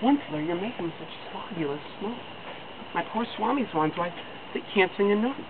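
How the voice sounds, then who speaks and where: A small, grumpy forest creature scolds in a raised, croaking voice, as a man puts him on. The pitch leaps and dips as he lectures, broken by a cough. It comes through a tinny, phone-like line with a steady wash of noise. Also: fairly quick, close to an everyday voice. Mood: mournful.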